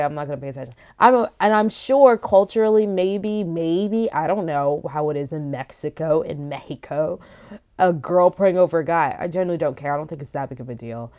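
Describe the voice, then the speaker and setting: A woman's voice: fairly low pitch, normal speed, smooth. An African-born young woman minister telling her own experiences in a casual, emphatic way, often restarting her sentences. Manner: emphatic, animated storytelling with frequent restarts. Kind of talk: casual personal testimony to camera.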